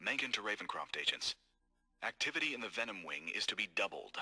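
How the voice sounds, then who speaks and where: A man voicing a cold, scheming corporate executive in game audio logs, calm, measured and formal. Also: on the low side, husky, faint music behind, heavily acted. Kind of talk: recorded game audio log.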